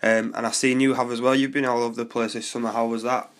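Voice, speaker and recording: A young man hosting a podcast interview, chatting in a relaxed way with a blocked nose from a cold. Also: medium pitch, quick pace. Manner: relaxed, chatty, hesitant fillers. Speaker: podcast host.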